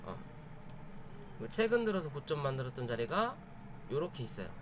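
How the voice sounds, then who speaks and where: A man's voice: mid-range voice, sing-song, fairly quick, background noise. A man giving an informal live lesson as host, emphatic, repeating phrases and checking understanding with rhetorical questions. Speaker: host of a stock chart study stream. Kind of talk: informal live lesson, explaining stock charts.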